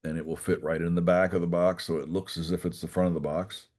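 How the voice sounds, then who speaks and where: A man giving an informal technical class, walking through a software demonstration step by step in a folksy way. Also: low-pitched, flat delivery, fast. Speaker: class instructor.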